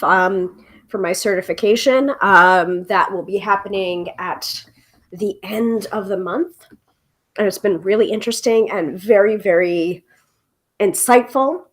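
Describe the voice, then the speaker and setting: A woman in her forties with a froggy, congested voice, chatting casually as the host of a live tarot stream, with lots of ums and laughter. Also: mid-range voice. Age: 40s. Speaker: tarot reader hosting a live show.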